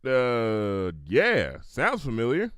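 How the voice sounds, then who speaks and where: A man's voice: medium pitch, wildly swooping pitch, very slow, fairly bright tone, clear, resonant tone, no echo, full character voice. A man voicing a blunt, hard-working female cartoon farm pony with a folksy, drawling way of talking, in a story reading. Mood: glum, resigned. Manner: folksy, plain-spoken, drawling dialect.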